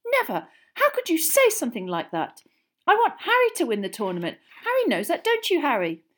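Indignant teenage witch, acted by a woman narrator. Raised pitch, swooping emphasis, defensive, children's fantasy audiobook. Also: very animated, moderate pace. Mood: outraged, shrill. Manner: shrill, emphatic.

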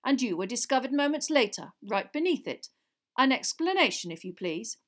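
A woman's voice: a little high, very animated, moderate pace, a bit bright, voice pushed up. Woman playing a blustering, barking wizard official. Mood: stern, barking. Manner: barking, growling, interrogating.

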